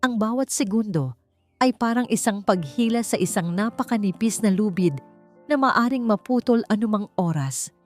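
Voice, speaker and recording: A woman reading a scripted, dramatic suspense love story in short, measured phrases. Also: mid-range voice, animated, darkish tone. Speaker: narrator of a story channel. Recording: clear music bed behind the voice. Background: background music.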